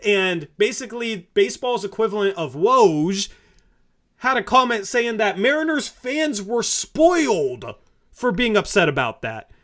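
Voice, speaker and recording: A man in his twenties co-hosting a casual sports chat, animated and exasperated, often talking over his partner. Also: high-pitched, a little slow. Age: 20s. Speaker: podcast co-host.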